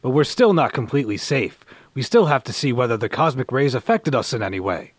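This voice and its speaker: A man's voice: mid-range voice, big pitch swings, fairly quick, breathy edge, no room sound. A man playing a commanding, earnest scientist who leads a superhero team, speaking in melodramatic declarations in a radio play. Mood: grave. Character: commanding, earnest heroic scientist leader of comic-book team.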